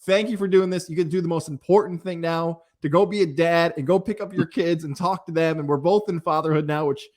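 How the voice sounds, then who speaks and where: A man's voice: on the high side, fairly quick, hardly any pauses, a little mellow. A middle-aged American man hosting an interview podcast in a chatty, effusive, conversational way. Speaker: podcast host, sports broadcaster.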